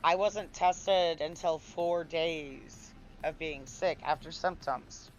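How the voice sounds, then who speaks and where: A woman streamer, her voice hoarse from illness, chats loosely with her audience and her gaming partner. The stream audio is slightly dull, with faint music underneath. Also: low voice, takes it slowly. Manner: chatty, talks to viewers and co-player. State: hoarse, recovering lost voice. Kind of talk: casual chat while gaming.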